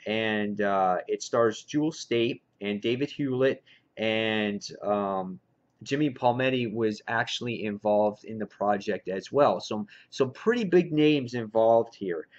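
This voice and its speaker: A man chatting casually and enthusiastically as the host of a comic book review vlog, in a lo-fi recording. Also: medium pitch. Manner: enthusiastic fan talk. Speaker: comic book reviewer hosting a haul video. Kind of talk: casual vlog chat, showing and commenting on purchases. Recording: dull lo-fi sound.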